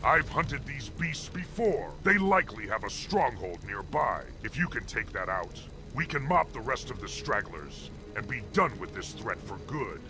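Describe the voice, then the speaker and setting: Casting: male actor as gruff hardened Horde fighter, strongly varied pitch, stern, music underneath, video game. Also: very animated, moderate pace, poor audio. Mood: grim. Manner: stern, martial. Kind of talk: video game character acting.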